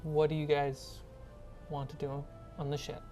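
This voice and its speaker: A woman with a low-pitched voice acts as game master. She narrates scenes and puts questions to her players in a tabletop horror game. Faint music plays behind her. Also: little pitch movement, a little slow, warm tone. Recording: music bed under the voice. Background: background music.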